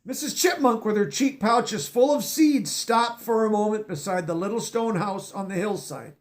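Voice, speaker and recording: A man's voice: sits high, moderate pace. Man reading a children's story aloud. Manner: stops to explain words in a teacherly way. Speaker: storybook reader.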